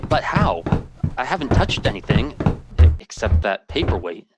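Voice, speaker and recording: An actor playing an anxious, determined family man searching for his brother in a radio drama, speaking in an earnest, halting, questioning way. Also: on the low side, sing-song, over loud music. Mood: alarmed. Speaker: protagonist and narrator.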